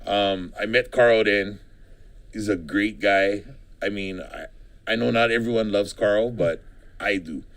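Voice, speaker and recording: A man's voice: on the low side, unhurried, a bit bright. A middle-aged man from Hawaii, a political candidate, talking casually in a studio interview and rambling with frequent ums and restarts.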